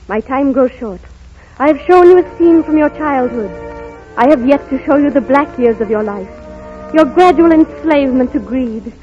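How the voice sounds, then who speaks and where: An accusing spirit of the past in vintage radio drama. The voice is strikingly high, measured and solemn. The sound is dull, with faint music behind. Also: male voice, animated. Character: solemn, reproachful Ghost of Christmas Past. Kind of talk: 1930s radio acting. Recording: muffled old radio broadcast, faint music.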